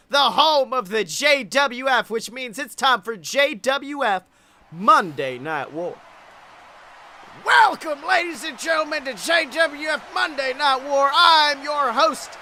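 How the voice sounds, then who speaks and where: A man playing a folksy, hyped wrestling announcer with a drawn-out showman delivery. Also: extremely high pitch, bright, forceful tone, slight background noise, voice pushed up, exaggerated voice. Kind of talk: wrestling show commentary.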